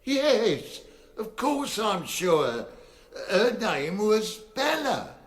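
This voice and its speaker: A man's voice: high voice, very animated, extremely slow, fairly bright tone, full character voice. An actor playing a flustered male witness in a courtroom radio drama, hesitant and stammering. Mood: flustered, exasperated.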